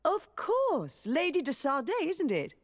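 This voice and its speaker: A woman's voice: high voice, wildly swooping pitch, a little slow, exaggerated voice. An actress playing a refined, gracious yet shrewd aristocratic lady in a video game, speaking in stately, formal phrases. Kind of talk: scripted video game dialogue. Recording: long reverberant hall echo.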